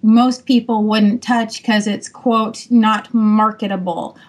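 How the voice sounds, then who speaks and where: A woman publisher speaks frankly and with emphasis, peppering her talk with fillers. Her voice sits a little high. She is a guest on a podcast panel. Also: fairly even intonation, slow pace. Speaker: publisher and editor.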